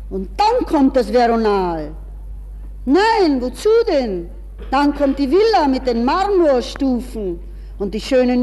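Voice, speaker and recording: A woman's voice: high voice, darkish tone, exaggerated voice. A woman playing a vain, defiant, distraught young lady in a theatrical radio drama monologue, talking feverishly to herself. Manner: theatrical, self-addressed, swinging from murmurs to exclamations. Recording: reverberant hall sound, faint noise.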